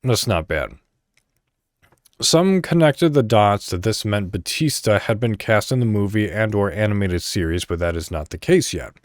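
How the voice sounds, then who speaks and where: A man's voice: lowish voice, lively intonation, moderate pace, no echo, clean studio sound. A man hosting a podcast, reading articles aloud with casual commentary, occasionally stumbling over words and correcting himself. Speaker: podcast host.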